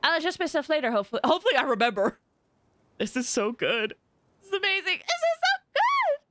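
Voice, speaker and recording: A woman game streamer reacting live in an excitable, gushing way, often repeating her phrases. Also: high-pitched, a little fast, slight background noise. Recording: game music behind the voice.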